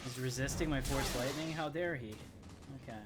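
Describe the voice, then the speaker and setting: A man's voice: mid-range voice, moderate pace, heavy background noise. A man streaming a video game, giving casual live commentary as he plays. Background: game music.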